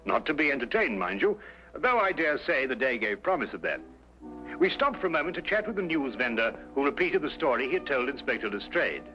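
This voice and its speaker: An actor playing an earnest, genteel detective's companion who also narrates an old-time mystery drama. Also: male voice, mid-range voice, sing-song, brisk, soft background music, natural voice. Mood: measured storytelling. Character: earnest gentleman, detective's loyal friend and narrator. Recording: dull old radio recording.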